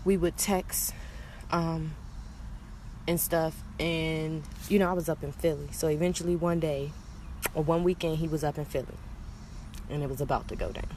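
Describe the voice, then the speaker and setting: A young woman with a slightly low voice chats casually as she shares a personal story. She is candid and slangy, often repeating phrases for emphasis. There is faint noise behind her voice. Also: fairly even intonation, moderate pace, long pauses, warm tone. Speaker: storytelling vlogger.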